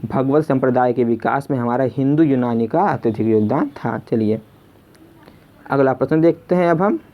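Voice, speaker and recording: A tutor leads a question-and-answer exam lesson at a middling pitch. Questions get restated before the answer, and listeners are addressed as friends. The recording is dull and lo-fi. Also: normal speed. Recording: dull, lo-fi sound.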